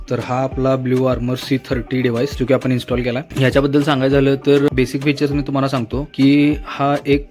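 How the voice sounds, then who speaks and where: A man's voice: medium pitch, a little mellow. A man chatting casually as a vlog host, mixing in English technical words. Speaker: motovlog host. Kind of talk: casual vlog chat and product explanation.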